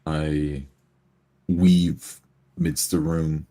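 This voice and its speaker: A man's voice: extremely low pitch, flat delivery, drawn-out words, mellow. A man playing a tabletop game, tersely describing the actions of his character.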